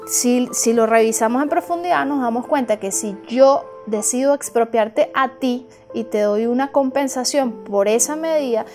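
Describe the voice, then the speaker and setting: A woman speaks as an expert in an interview, laying out points step by step for the listener. Her voice is on the high side, with quiet music behind it. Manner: didactic, addresses the listener with examples. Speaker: human rights observatory expert. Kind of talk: explanatory interview commentary.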